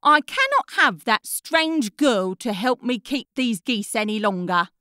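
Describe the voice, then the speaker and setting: A sulky, hot-tempered goose boy whines his complaints to the king, as a woman storyteller puts him on. The voice is pitched high and peevish, recorded close and dry. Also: expressive, unhurried, sharp tone, no echo. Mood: complaining. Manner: whiny, complaining.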